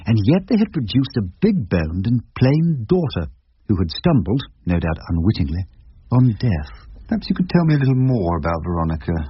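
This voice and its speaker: A low-pitched, wry older English barrister for radio drama. He is literate and measured, with lively intonation. The recording is muffled, with clear background noise. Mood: probing. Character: wry, eloquent ageing English criminal barrister. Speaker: lead actor and narrator. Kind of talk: radio drama dialogue and first-person narration.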